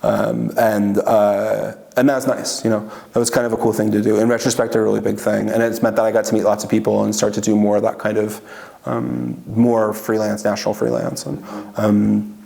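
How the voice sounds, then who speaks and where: A man's voice: fairly low pitch, flat delivery, normal speed, gravelly, dark tone. A man in an oral history interview, talking casually and rambling with many fillers and restarts. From Washington state.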